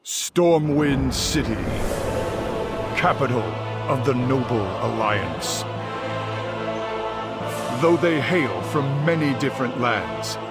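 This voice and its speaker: A man narrating a heroic fantasy game in a solemn, grand, measured scripted style. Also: mid-range voice.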